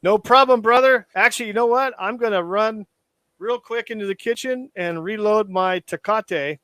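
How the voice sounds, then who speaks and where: A man's voice: high voice, normal speed, a bit bright, no room sound. A man co-hosting a live stream in casual chat, laid-back and joking, laughing often. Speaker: stream co-host.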